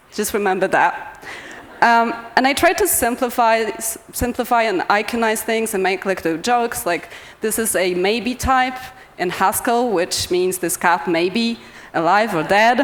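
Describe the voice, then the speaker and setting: Cheerful Polish woman speaking in a reverberant hall. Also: mid-range voice. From Poland. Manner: cheerful, self-deprecating, laughs often. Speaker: conference speaker. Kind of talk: conference talk. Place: conference hall.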